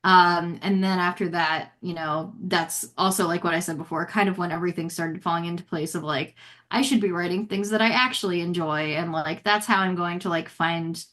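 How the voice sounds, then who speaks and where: A woman's voice: fairly low pitch, steady tone, moderate pace, a bit bright. An American woman speaking as an interview guest, reflecting casually with lots of "like" and "you know". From United States. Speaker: guest author.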